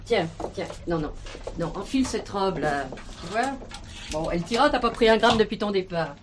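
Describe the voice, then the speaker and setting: A woman's voice: mid-range voice, animated, moderate pace, a little hiss or hum, lightly acted. An actress playing a garrulous, motherly, earthy older ex-dancer who likes to boast, in a stage drama. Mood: boastful, nostalgic. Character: heavyset, chatty ex-dancer turned dresser, brash, motherly older woman. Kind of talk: French television stage comedy-drama acting.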